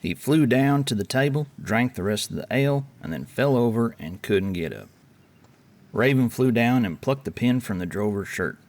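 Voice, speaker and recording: A man's voice: mid-range voice, lively intonation, moderate pace. A man performing as a storyteller, giving theatrical scripted narration.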